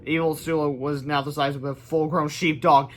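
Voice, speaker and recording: Guy with a bright highish voice doing an animated kids book read-aloud. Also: moderate pace, a little hiss or hum. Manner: animated, exclaims sound effects. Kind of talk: expressive storybook read-aloud with ad-libbed asides.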